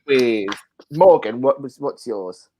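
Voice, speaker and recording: Lively male host with swooping pitch. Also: unhurried, crowd noise. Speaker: talk show host. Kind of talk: casual chat, moderating a panel.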